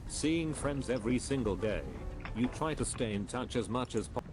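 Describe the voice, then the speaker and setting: A man reading forum posts aloud at a flat, even reading pace. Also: mid-range voice, normal speed. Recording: music playing loudly behind.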